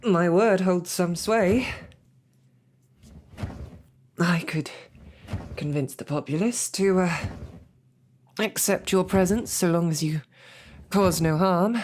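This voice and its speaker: A woman's voice: fairly low pitch, slow pace, long pauses, darkish tone, slight background noise. A woman voicing a snarky, formal captured royal knight in an audio roleplay. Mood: wry, coaxing. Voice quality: formal, wordy and wry. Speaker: audio drama performer.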